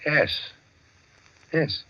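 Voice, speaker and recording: An actor playing a reserved, uneasy psychologist, hesitant and thoughtful, in 1940s radio drama style. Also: male voice, extremely slow, bright, forceful tone, full character voice. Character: reserved, uneasy American psychologist, analytical man. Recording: muffled old radio recording.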